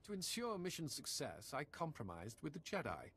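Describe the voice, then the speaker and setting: A calm, formal Imperial agent. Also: male voice, fairly high pitch, husky, exaggerated voice. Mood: composed. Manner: measured, formal, polite.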